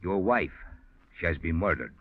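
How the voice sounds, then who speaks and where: Mexican police lieutenant, animated delivery. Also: male voice, sits low, creaky, no room sound, heavily acted. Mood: grave. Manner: deliberate, formal, accusing. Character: solemn Mexican police lieutenant, male, accusing. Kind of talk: 1940s radio acting. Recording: muffled vintage radio recording with music.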